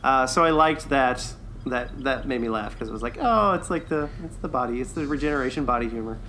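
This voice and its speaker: Upbeat guy on a fan podcast, really bright voice, a bit high, some background noise. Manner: enthusiastic, quick to chuckle. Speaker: co-host of a TV review podcast. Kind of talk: casual, enthusiastic fan review chat.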